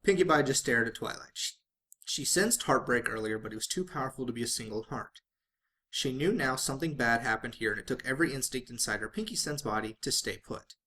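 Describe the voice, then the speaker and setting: A man's voice: medium pitch, animated, fairly quick, pushed and bright. A man reading fan fiction aloud as a dramatic narrator, sometimes stumbling and rereading phrases.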